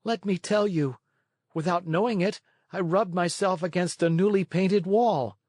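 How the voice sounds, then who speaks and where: A man voicing an impulsive wooden puppet boy in a children's storybook, earnest and excitable. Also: high voice, unhurried, no echo, studio quality, voice pushed up. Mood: glib.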